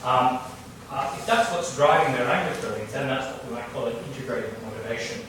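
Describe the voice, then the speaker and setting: A middle-aged man from Canada giving an academic lecture, halting with many fillers and restarts. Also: medium pitch, expressive, recorded from a distance. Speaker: guest lecturer. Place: large lecture hall. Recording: echoey hall recorded from afar, background noise.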